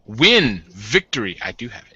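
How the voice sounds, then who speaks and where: A man with a very bright, forceful voice and animated pitch. He plays a tabletop roleplaying game, casually improvising narration and joking. The sound is slightly dull. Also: a little slow, breathy edge, no echo.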